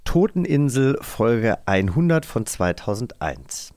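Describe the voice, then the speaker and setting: A man's voice: mid-range voice, sing-song, takes it slowly, noisy background. A man hosting a podcast quiz in a playful, teasing chat style. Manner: joking, teasing. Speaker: podcast host.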